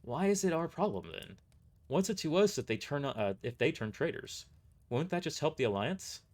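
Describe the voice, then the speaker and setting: A man's voice: fairly high pitch, very animated, moderate pace, darkish tone, pitched above the performer's own voice. A man voicing a blunt, skeptical mercenary who fires off startled questions in an audio drama. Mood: incredulous, skeptical. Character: incredulous, questioning mercenary in a sci-fi fantasy drama.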